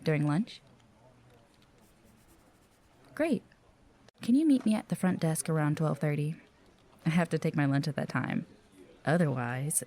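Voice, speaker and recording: A woman plays an earnest office receptionist in an audio drama. Her voice is low and mellow, and she speaks politely and conversationally, with a careful, hesitant manner. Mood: apologetic, friendly. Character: friendly, earnest, cautious female office receptionist. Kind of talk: audio roleplay acting.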